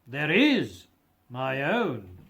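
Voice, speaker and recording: A man's voice: mid-range voice, wildly swooping pitch, extremely slow, a bit bright, pitched below the performer's own voice, exaggerated voice. A man voicing a gigantic, generous, hearty spirit in a storybook reading, with an emphatic, declamatory delivery.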